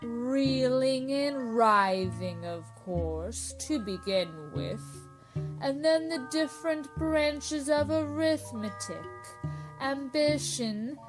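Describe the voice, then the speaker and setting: A woman voicing a melancholy, touchy turtle in a storybook radio play, ponderous and easily offended. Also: high voice. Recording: music under the voice.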